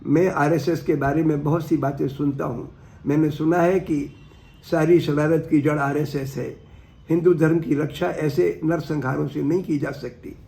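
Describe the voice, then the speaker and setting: Older man, gravelly, higher-pitched voice. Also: fairly even intonation, normal speed, dark tone. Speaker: professor giving a talk. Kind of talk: reading a historical speech aloud, then earnest commentary.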